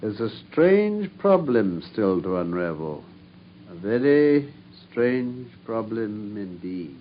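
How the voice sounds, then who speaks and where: An actor playing a self-assured English detective in a radio drama, telling things with brisk, wry amusement. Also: male voice, on the low side, big pitch swings, a little hiss or hum. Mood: musing. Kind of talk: British radio drama acting. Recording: dull, muffled radio drama recording.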